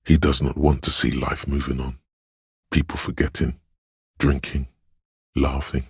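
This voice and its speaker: A man voicing a solemn, tender inner voice that reads others' feelings in a video game, measured and brooding in delivery. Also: flat delivery, no echo, clean studio sound, heavily acted. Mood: solemn, sympathetic. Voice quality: deep, husky, gravelly. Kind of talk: scripted video game narration.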